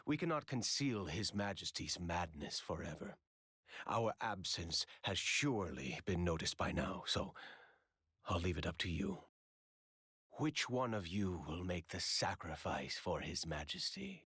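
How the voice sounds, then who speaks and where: An actor playing a grave, courteous commander of royal knights in a video game, with measured, formal speech. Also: male voice, low voice, sing-song, fairly bright tone, husky, no echo, clean studio sound, heavily acted. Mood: grave, resolute. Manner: measured, formal, archaic diction. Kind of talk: dramatic video game voice acting.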